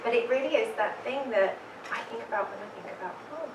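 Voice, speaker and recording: A woman's voice: fairly high pitch, quick pace, background noise. A woman with a London English accent, moderating a panel and chatting with the audience, asking them questions. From England. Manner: chatty, engaging, asks the crowd questions. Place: event room with audience. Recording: roomy hall, voice from some distance.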